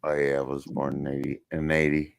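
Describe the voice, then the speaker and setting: A man's voice: a bass voice, completely flat delivery, brisk, clear, resonant tone. A man in his forties chatting casually, blunt and curious, asking questions. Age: 40s. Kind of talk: casual chat.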